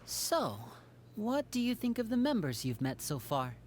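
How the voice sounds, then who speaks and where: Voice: mid-range voice, wildly swooping pitch, unhurried. An actor voicing a friendly, charming young stage magician in a game.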